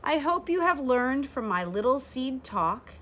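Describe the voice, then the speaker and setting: A woman in her thirties reading a rhyming children's picture book aloud in a deliberate, expressive way, pausing to explain. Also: high voice, slight background noise. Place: small sunroom with large windows, indoors.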